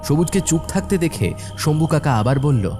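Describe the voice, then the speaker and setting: A man's voice: fairly high pitch, very animated, normal speed, over music. A man narrating a scripted horror cartoon as a dramatic storyteller.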